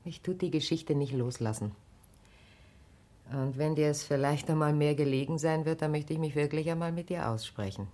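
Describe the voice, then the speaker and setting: An actress playing a grave, perceptive, caring wife in a radio drama, speaking earnestly and probingly. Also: female voice, deep voice, normal speed, warm tone, natural voice. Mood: earnest, concerned. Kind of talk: naturalistic German radio drama acting.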